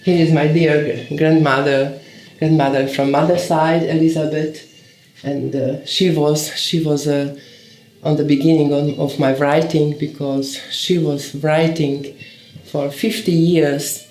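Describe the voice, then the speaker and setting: A woman in her seventies who grew up in Slovakia with Hungarian as her first language speaks as a poet in a reflective documentary interview. She talks haltingly, searching for words and often restarting her sentences. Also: sits low, level tone, darkish tone. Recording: roomy echoey sound.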